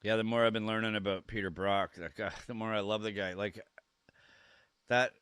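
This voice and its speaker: A young man with a cold, sniffly and coughing, chatting casually and excitedly on a live stream, rambling and stumbling over his words. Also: on the low side, moderate pace, smooth, no echo, clean studio sound. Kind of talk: casual live-stream watch-along chat.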